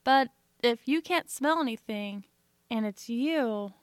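A woman's voice: on the high side, slow, dry recording, studio quality. A woman co-hosting a podcast, chatting casually in an easygoing, agreeable way. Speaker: podcast co-host. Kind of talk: casual chat.

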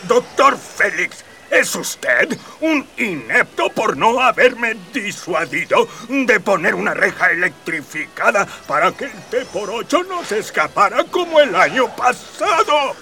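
Capricious pompous emperor, comic radio play, high voice, slow haughty drawl, music underneath. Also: male voice, big pitch swings, sharp tone, heavily acted. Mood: scolding. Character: capricious, self-serving pompous male emperor. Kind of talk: comic radio drama acting. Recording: music behind the voice.

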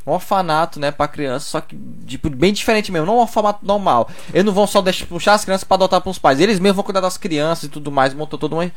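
A man's voice: high-pitched, very fast, few pauses. A young man in Brazil, telling stories in a loose, slangy casual chat full of "cara". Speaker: podcast guest.

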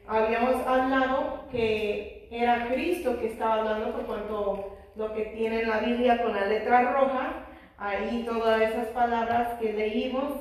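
Slow-speaking woman preacher in an echoey hall. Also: on the high side, darkish tone. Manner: slow, prayerful, sprinkles amens and hallelujahs. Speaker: preacher teaching a Bible study. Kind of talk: church Bible study sermon with prayer. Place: church hall. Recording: very echoey room, voice far from mic.

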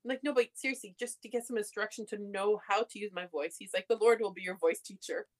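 A young adult woman from Canada, telling her story candidly in a relaxed conversation. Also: mid-range voice, fast. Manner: candid storytelling, laughs often. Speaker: podcast guest. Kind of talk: casual conversation.

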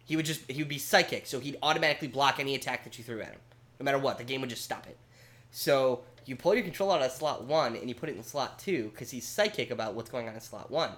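Brief: male podcast co-host, mid-pitched, rambling and animated, swears casually. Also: quick pace. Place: home basement studio.

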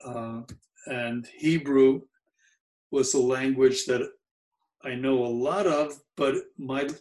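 A man in his seventies with a New York accent and Yiddish as his first language, recalling his childhood in an oral history interview, mellow and halting with frequent fillers. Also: medium pitch, fairly even intonation, low-quality recording. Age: 70s.

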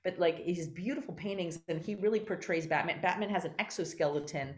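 A woman talking as an interview guest, effusive and emphatic, digressing as she goes in an animated conversational style. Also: fairly low pitch, fairly quick.

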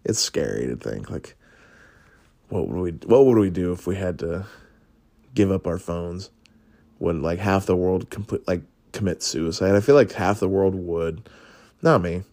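A man's voice: sits low, lively intonation, a little slow, creaky, darkish tone, no echo. A man hosting a podcast, chatting casually through would-you-rather questions, rambling and swearing with frequent laughs. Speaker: podcast host.

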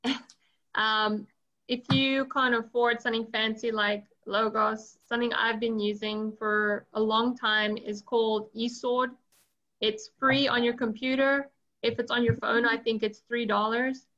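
Flat-toned higher-voiced woman, muffled audio. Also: a little slow. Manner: giggly, informal. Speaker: class participant. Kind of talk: casual class discussion.

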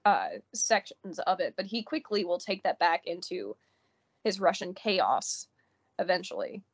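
A woman's voice: lowish voice, animated, a little slow. A woman co-hosting a podcast, a horn player who talks through musical details thoughtfully in casual conversation. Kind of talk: casual music analysis chat.

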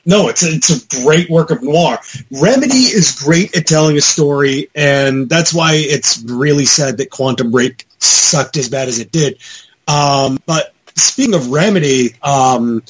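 A man's voice: a little high, lively intonation, a little slow, a little hiss or hum, low-quality recording. A man talking casually and enthusiastically as a podcast panelist, with some swearing. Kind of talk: casual chat about movies and games.

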